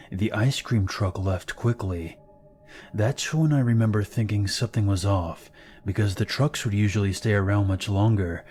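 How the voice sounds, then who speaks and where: A man narrates horror stories in a fairly low voice, keeping a calm, steady pace. Clear background music runs under his narration. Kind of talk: scripted horror story narration.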